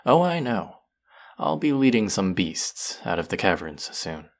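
A man's voice: lowish voice, big pitch swings, a little slow. A man voicing a smug, taunting young fantasy villain who menaces his captive in a theatrical roleplay audio monologue. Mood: scheming.

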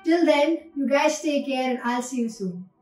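High-voiced young Indian woman, roomy sound. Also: lively intonation, normal speed, darkish tone. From Karnataka, India. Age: young adult. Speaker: cricket reviewer and state player. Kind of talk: match preview commentary to camera. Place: small indoor room at home. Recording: roomy echo, distant mic, faint music.

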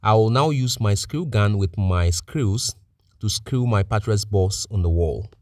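A man's voice: lowish voice, unhurried, a little mellow, dry recording, clean studio sound. A man giving methodical, plain-spoken step-by-step narration as a technician presenting a practical tutorial.

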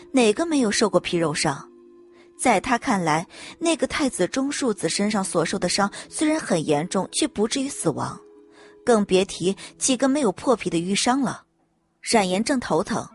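A woman reading a scripted story as a dramatic audiobook narrator. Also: medium pitch. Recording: music bed under narration.

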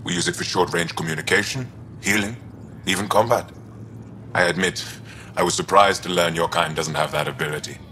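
An actor playing a calm, courteous alien doctor in a video game, speaking in a measured, formal way. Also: male voice, low voice, a little hiss or hum. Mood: measured, curious.

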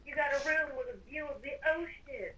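A woman's voice: high-pitched, a little fast, fairly bright tone, low-quality recording. A woman improvising game roleplay in a teasing, playful way. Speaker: player roleplaying on a Minecraft server.

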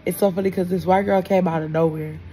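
A woman's voice: lowish voice, steady tone, fast talker, warm tone, a little hiss or hum. A woman, telling a slangy, gossipy story casually to a phone held close in a hotel room. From Houston, United States. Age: 20s. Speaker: vlogger. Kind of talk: casual storytime chat to camera. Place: bedroom-sized hotel room, indoors.

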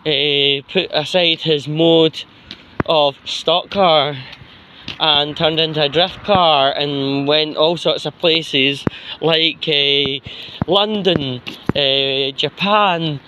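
Scottish male vlogger, slightly high voice, slow halting monologue, outdoor phone audio with faint street noise. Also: steady tone, pushed and bright, poor audio. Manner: halting, slow, frequent pauses mid-sentence. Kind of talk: rambling casual walking monologue. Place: outdoors, walking along a street pavement. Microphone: handheld phone while walking.

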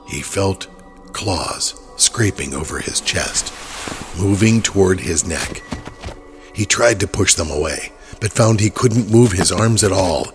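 A man narrating a horror audio drama in a dramatic, scripted storytelling style. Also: low voice. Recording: clear horse and carriage ambience behind.

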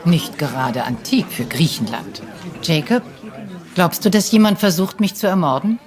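A woman's voice: lowish voice, very animated, moderate pace, darkish tone. An actress playing a frail, wealthy old lady in a crime radio play, wry and theatrical, moving between weary and pointed. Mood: weary, confiding.

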